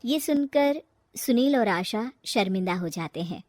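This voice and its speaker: A female storyteller reads a scripted moral tale. Her voice sits very high for a woman, and the recording is close and dry. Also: lively intonation, measured pace, a little mellow, dry recording. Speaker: narrator.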